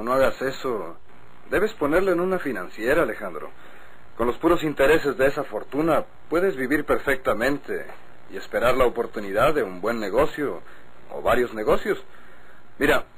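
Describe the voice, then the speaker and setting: An actor playing a confident, chummy politician's son in a Mexican radio drama, slightly husky, with a Mexican accent. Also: male voice, medium pitch, big pitch swings, normal speed, fairly bright tone, slight background noise, rough recording. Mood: friendly, advising. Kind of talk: golden-age Mexican radio drama acting.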